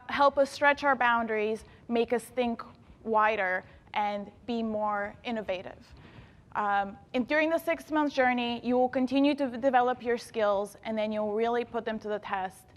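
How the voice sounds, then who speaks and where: A woman in her thirties gives an enthusiastic talk to students, speaking straight to her listeners. Her voice sits a little high. The sound rings with the long echo of an event hall. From Bulgaria. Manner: enthusiastic, addresses audience directly. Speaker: engineer and planetary scientist speaking to students. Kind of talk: inspirational talk to youth.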